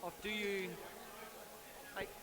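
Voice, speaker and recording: A man explaining how a tournament rules pack was written, in a measured way. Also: high-pitched, little pitch movement. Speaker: event organizer. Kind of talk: explaining in casual talk. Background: crowd chatter.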